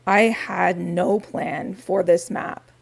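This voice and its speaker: A woman with a raspy voice, talking softly and unhurriedly through a quiet crafting vlog in her home studio, with wry asides. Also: on the low side, fairly even intonation, slow, a little hiss or hum. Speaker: crafting vlogger.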